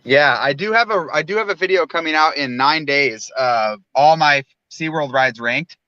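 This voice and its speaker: A man chatting casually as a livestream guest, talking loosely and haltingly about his opinions. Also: fairly high pitch, bright, forceful tone, clear, resonant tone, no echo. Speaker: guest theme park reviewer. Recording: dull, lo-fi, dry call audio.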